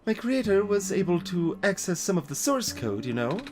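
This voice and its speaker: A let's player voicing a weary, grave robot guide from a video game, explaining things in a measured way. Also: mid-range voice, big pitch swings, noisy background. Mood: somber, worried. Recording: music behind the voice.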